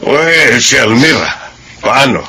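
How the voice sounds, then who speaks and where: A sympathetic older brother. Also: male voice, medium pitch, animated, unhurried, pushed and bright, slightly rough, full character voice. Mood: concerned. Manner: concerned, consoling.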